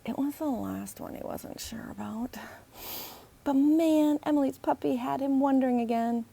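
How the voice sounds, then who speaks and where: Female author-narrator for middle-grade audiobook, very varied intonation, some vocal fry. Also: a little high, warm tone. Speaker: author reading own book aloud. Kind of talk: expressive children's storybook narration.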